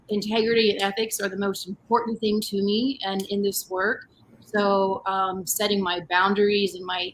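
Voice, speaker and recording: A woman on a video call, rambling through her answers with plenty of ums and restarts, her voice set at a middling pitch. A faint hiss of background noise sits under the line. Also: a bit bright, low-quality recording. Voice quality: voice says it has grown deeper. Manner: rambling with many fillers and restarts. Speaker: panel guest, energy healer. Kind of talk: casual panel chat.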